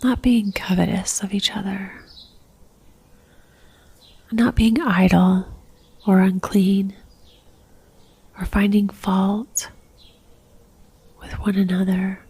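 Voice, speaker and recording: A woman leading a guided religious meditation in a soft, near-whispered voice, gentle and calm, leaving long pauses. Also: medium pitch, breathy edge.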